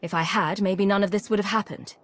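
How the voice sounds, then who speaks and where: An actress playing a principled, composed commander in a video game, measured and authoritative. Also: female voice, medium pitch, fairly even intonation, no echo, natural voice. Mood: concerned. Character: principled, composed female commander, compassionate yet firm.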